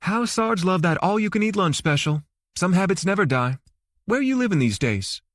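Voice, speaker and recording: Man voicing a high-pitched sassy, folksy woman. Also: sing-song, normal speed, no echo, studio quality. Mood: teasing, amused. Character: Zoe, folksy sassy Southern-talking woman, teasing.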